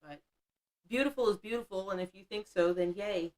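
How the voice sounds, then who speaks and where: Woman, 50s, livestream host; chatty, blunt, self-mocking, quick to laugh. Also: mid-range voice, darkish tone, low-quality recording. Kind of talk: casual livestream chat reading the chat.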